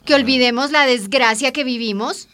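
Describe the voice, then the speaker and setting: A woman's voice: high voice, normal speed, bright, forceful tone. A woman playing an indignant, proud Colombian immigrant in a radio soap, speaking colloquially and emphatically with a Colombian accent. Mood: bitter. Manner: emphatic, colloquial, rhetorical questions. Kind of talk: radio soap opera acting.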